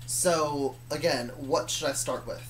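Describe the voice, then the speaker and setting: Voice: medium pitch, measured pace, low-quality recording. A person telling stories about their own fictional universe in a casual, rambling, hesitant way, often correcting themselves. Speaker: storyteller.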